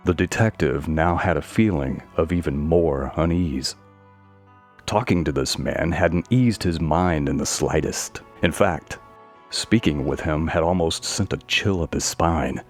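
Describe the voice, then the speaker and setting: A male narrator tells a scripted mystery story. His voice is deep, well below most men's. Soft music runs faintly behind him.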